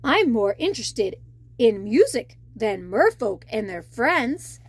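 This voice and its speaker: A woman's voice: a little high, very animated, slow, husky, dry recording, pitched below the performer's own voice, exaggerated voice. A woman voicing a friendly, music-loving male shark in a children's storybook, earnest and emphatic.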